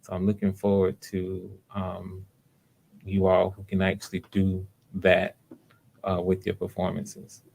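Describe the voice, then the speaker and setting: A man hosting live online auditions, talking casually to viewers in an unhurried, explanatory way, thinking aloud as he goes. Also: deep voice, completely flat delivery, creaky, a little mellow. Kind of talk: casual livestream talk to viewers.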